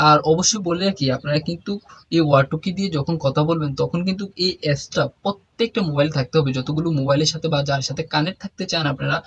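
A man hosting a step-by-step app tutorial, addressing viewers directly in an instructional, repetitive way. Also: fairly high pitch. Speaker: tech tutorial host. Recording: faint background noise.

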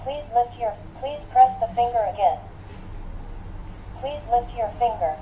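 High female device prompt, dull speaker sound. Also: animated, a little slow, a little hiss or hum. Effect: played through a small device speaker. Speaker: automated intercom voice prompt. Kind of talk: scripted device announcement. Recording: dull small-speaker playback, busy background sound.

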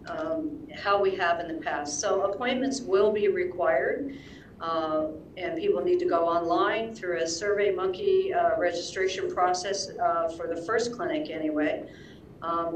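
A woman's voice: fairly low pitch, slight background noise. Woman health official, distant and echoey. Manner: halting, many ums and uhs. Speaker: public health director. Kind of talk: reading public announcements. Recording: echoey room, heard from far off.

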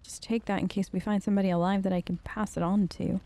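A woman's voice: medium pitch, little pitch movement, fast talker, vocal fry, warm tone. A woman doing playful, chatty role-play commentary over a game, talking to the characters as she plays. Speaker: gamer playing in character.

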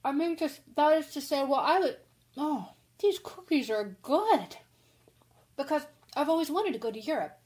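A frank, impulsive young woman writer in a radio play, acted by a woman. Her voice is fairly high, and she rambles on in a chatty, candid rush. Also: animated, normal speed, darkish tone. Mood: chatty, flustered. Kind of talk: radio drama acting.

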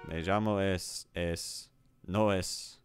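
A man's voice: deep voice, steady tone, extremely slow, loud music behind the voice. A man streaming a language app, repeating the sentences aloud and correcting himself with small laughs. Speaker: streamer learning Spanish. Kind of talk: casual commentary while playing a language app.

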